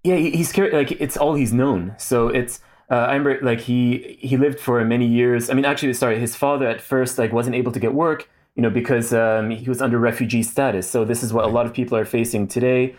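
A man's voice: medium pitch, steady tone, fairly quick, hardly any pauses, a little mellow. A man in his thirties, a guest in a casual interview, speaking thoughtfully with many fillers and restarts. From Saudi Arabia.

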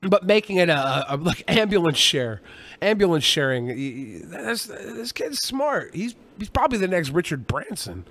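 A man's voice: high-pitched, big pitch swings, moderate pace, fairly bright tone. A man co-hosting a podcast, chatting casually in a jokey, rambling, profane way. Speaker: podcast co-host.

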